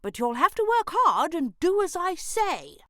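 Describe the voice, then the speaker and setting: A woman voicing a grumpy, gruff little male caterpillar in a storybook reading, indignant and bossy. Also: very high voice, very animated, a little slow, pushed and bright, no echo, studio quality, pitched above the performer's own voice, full character voice.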